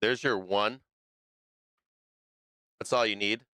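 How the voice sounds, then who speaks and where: A low-pitched man with a bright, crisp voice talks through stock charts on a live stream, loose and thinking aloud with plenty of fillers and restarts. He is losing his voice, and the sound is close and dry with no room in it. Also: steady tone, slow, no room sound. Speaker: stock market stream host. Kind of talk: live trading commentary over charts.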